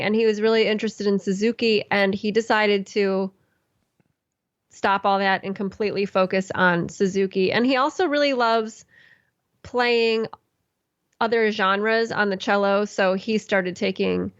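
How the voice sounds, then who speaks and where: A woman's voice: mid-range voice, fairly even intonation, normal speed, dry recording, lo-fi sound. A woman who is a classical musician, chatting on a podcast in a rambling way, with restarts and ums. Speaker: classical musician and podcast host, guest here. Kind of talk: casual podcast conversation.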